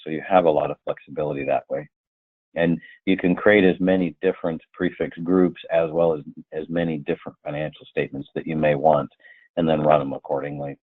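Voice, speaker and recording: A man presenting a software training webinar, explaining slide by slide in a conversational way with hypothetical examples. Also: rumbling low, level tone, brisk, breathy edge, no room sound. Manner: explanatory, illustrates with hypothetical quotes. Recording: muffled, tinny phone-like line.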